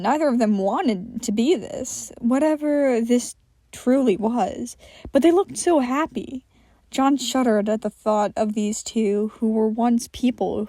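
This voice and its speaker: Mellow woman narrating fiction, close and dry. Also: fairly high pitch, dry recording. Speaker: podfic narrator.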